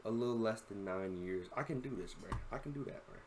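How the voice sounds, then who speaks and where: A man's voice: on the low side, a little fast, a little hiss or hum. A 21-year-old man and content creator, giving casual, rambling reaction commentary full of slang and constant "bro.".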